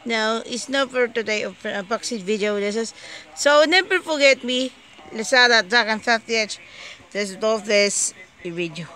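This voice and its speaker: A bright, forceful voice at a medium pitch presents a casual homemade unboxing. The delivery is slow and halting, with disjointed phrases and frequent repetition. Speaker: amateur video maker showing gadgets. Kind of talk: casual home unboxing video.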